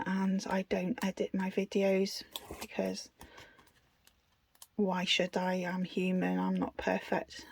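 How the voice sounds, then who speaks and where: A woman's voice: medium pitch, gravelly, darkish tone, slight background noise. A British woman giving a casual, unscripted craft tutorial in a chatty, self-deprecating way. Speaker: craft video host.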